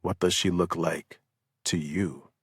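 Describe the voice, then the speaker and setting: A man voicing a heavily drugged male war veteran, speaking in a slow, gruff drawl with a Southern US accent. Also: lowish voice, expressive, no room sound, clean studio sound, exaggerated voice. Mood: slow, haunted.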